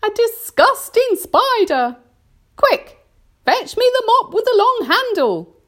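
A woman voicing a bossy, disgusted aunt from a storybook, crying out shrill commands. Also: sing-song, takes it slowly, fairly bright tone, heavily acted. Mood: disgusted alarm.

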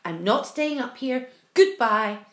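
A woman's voice: fairly high pitch, animated, takes it slowly, a bit bright, roomy sound. A woman voicing a know-it-all male centipede in a storybook, speaking curtly and emphatically. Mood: defiant.

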